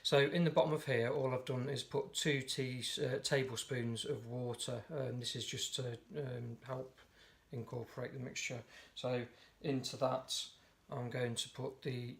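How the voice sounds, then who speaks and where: A hoarse man casually talking through a recipe, often correcting himself as he goes. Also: mid-range voice, unhurried, hardly any pauses. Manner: relaxed, chatty, often corrects himself mid-sentence. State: hoarse, croaky voice. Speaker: home cook presenting a recipe. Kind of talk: casual step-by-step cooking demonstration. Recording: noisy home video recording.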